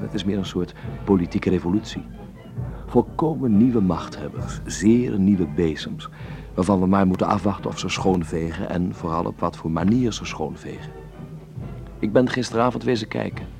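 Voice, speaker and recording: A man's voice: deep voice, vocal fry. A man playing a wry, uneasy observer reading his letter aloud in an intimate, reflective radio drama monologue. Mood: sober, wry, then fascinated, uneasy. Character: male letter writer in 1933 Berlin, wry, uneasy observer.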